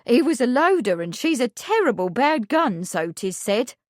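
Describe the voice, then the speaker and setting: Blunt country servant woman played by a woman, lively tune, slightly raised voice, rustic speech, dry sound. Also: fairly high pitch, normal speed, no echo, voice pushed up. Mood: gossipy. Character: gossipy middle-aged household servant, blunt.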